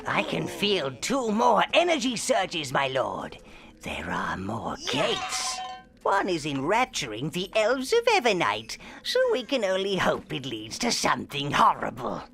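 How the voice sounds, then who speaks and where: An actor playing a sly, darkly gleeful old minion advisor in a game cutscene, with a gravelly, creaky voice and a wheedling manner. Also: male voice, a little high, huge pitch swings, unhurried, exaggerated voice. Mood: scheming. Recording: voice over loud game music.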